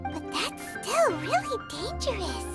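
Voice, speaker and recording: An actress voicing a tiny, childlike, inquisitive fairy companion in a video game, with a squeaky, cartoonish delivery. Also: female voice, sing-song, unhurried, over loud music, full character voice. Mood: worried, curious. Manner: squeaky, inquisitive, speaks of self by name.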